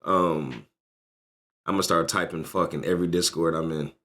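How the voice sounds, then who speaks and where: A man in his thirties with a fairly low voice, reacting live on stream. He rants in a loose, profane, animated way, shooting up into higher bursts as he goes. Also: normal speed. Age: 30s. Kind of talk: casual livestream reaction chat.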